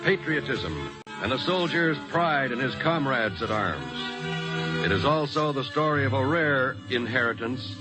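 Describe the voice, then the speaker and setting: A man's voice: mid-range voice, very animated, a little slow, poor audio. A man announcing a scripted old-time radio programme in a grand, solemn, declamatory manner. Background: music.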